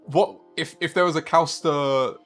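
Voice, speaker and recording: A man's voice: a little high, fairly even intonation, measured pace, fairly bright tone, faint music behind. A man in his thirties streaming a racing game live, chatting casually and rambling with a laugh now and then. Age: 30s. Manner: chatty and rambling, laughs often, talks to chat.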